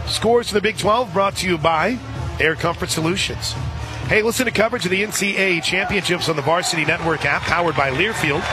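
Male sports radio play-by-play voice for softball, conversational, slightly high, over music and crowd at an outdoor stadium. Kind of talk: live softball radio commentary.

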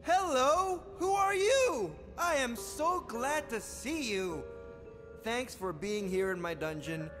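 A wretched, lonely dungeon dweller speaks in a very high, plaintive voice. The needy game character rings with long reverb over music. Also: male voice, expressive, slow, full character voice. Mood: lonely, grateful. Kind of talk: video game character performance.

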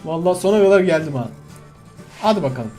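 A man with a high-pitched voice chats through gameplay with a friend. He is excitable and slangy, often exclaiming and pleading, with light music underneath. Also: fast. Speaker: gaming video host. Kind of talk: casual gameplay banter with a friend.

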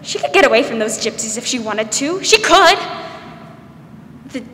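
Girl doing strange childlike older sister, old time radio drama, high voice, echoey stage. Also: expressive, moderate pace, a bit bright. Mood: agitated. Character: strange, fearful, obsessive older farm sister, childlike and stubborn. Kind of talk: 1940s radio drama acting. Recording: roomy stage hall, faint noise.

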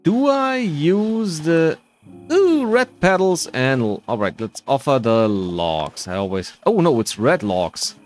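Slow-paced man muttering through a game. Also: a little high, big pitch swings, soft background music. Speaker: gamer narrating a playthrough. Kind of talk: casual game commentary.

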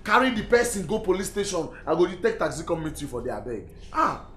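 A man's voice: high voice, very animated, a little fast, a bit bright, slight background noise. A man with a Nigerian accent playing an evasive young boyfriend in a Pidgin comedy-drama, stammering and whining his innocence. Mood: flustered, defensive. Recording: echoey room, music behind.

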